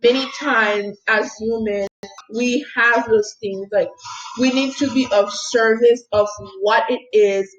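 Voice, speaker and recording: Healer, woman, higher register, soft lilting tone, emphatic motivational storytelling, faint background noise. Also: fairly even intonation, slow pace, dull audio. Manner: earnest and emphatic, often checking in with "okay?". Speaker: spiritual healer and mindset coach. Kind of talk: motivational talk with personal story.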